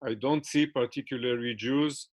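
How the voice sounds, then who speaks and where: A man's voice: mid-range voice, fairly even intonation, normal speed. A man giving interview answers in English, which is not his first language, with many fillers and restarts.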